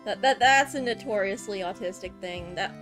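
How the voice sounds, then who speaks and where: A woman's voice: mid-range voice, normal speed, a bit bright, faint music behind. A woman streamer giving casual, informal commentary as she plays a game.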